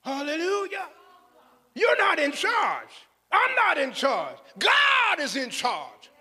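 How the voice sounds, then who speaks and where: Guy preaching hard, really high bright voice, repeats himself for emphasis, big reverby hall. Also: huge pitch swings, slow pace. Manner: exclamatory, repeats phrases for emphasis. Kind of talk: fervent sermon with scripture quotation. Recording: echoey hall with long reverb.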